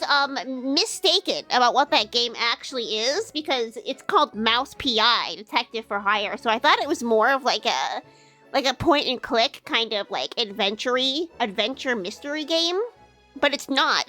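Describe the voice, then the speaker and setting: A high, bright woman's voice, nasal and stuffed up as if she is fighting a sinus infection. She chats playfully with her viewers, cracks crude jokes and giggles often. Faint music plays underneath. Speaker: streamer. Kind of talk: casual live-stream chat. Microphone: streaming microphone.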